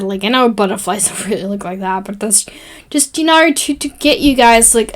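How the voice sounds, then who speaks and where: Young woman, Dutch accent, native Dutch speaker, casual tutorial voice-over, rambling, lots of fillers. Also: medium pitch. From Netherlands. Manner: chatty and rambling, with many fillers and self-corrections. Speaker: tutorial host.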